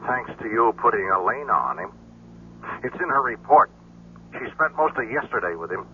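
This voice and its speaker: A man's voice: medium pitch, lively intonation, normal speed, slightly rough. A man playing an efficient secret agent in a 1970s radio drama, speaking in a clipped, businesslike manner. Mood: cool, concerned. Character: cool, efficient secret agent reporting to a superior. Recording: muffled vintage radio, faint hum.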